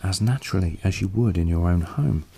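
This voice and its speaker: A man narrating a scripted guided meditation in a calm, unhurried, soothing way. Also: deep voice, creaky, a little hiss or hum. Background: soft background music.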